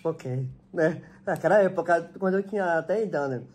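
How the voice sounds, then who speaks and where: A man's voice: on the high side, moderate pace, a little mellow, a little hiss or hum. A young man streaming from home, chatting casually in a laid-back, slangy way. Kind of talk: casual livestream chat. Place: home kitchen.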